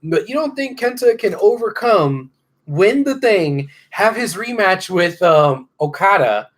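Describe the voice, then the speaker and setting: A man's voice: high voice, lively intonation, unhurried. A man with an American accent co-hosting a wrestling podcast, hesitantly thinking aloud in a casual, sweary chat.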